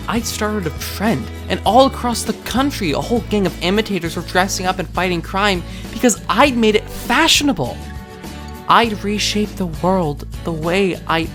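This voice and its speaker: An awkward teenage boy played by a man in a comic dub, sardonic and self-deprecating. He speaks confessionally, like a would-be hero telling his own story, with music clearly underneath. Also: high-pitched. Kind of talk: comic dub narration and dialogue.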